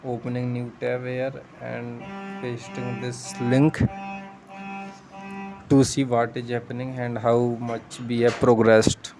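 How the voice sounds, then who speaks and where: Guy walking through a coding tutorial, not a native english speaker, slow and steady, pretty monotone. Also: mid-range voice, music in the background, poor audio. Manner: patient step-by-step explaining, thinks aloud. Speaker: programming tutor. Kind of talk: screen-share coding tutorial.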